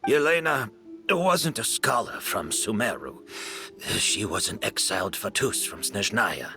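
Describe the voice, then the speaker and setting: A man voicing a weakened, solemn older male merchant who speaks slowly and gravely. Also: on the low side, expressive, over music, voice pushed down.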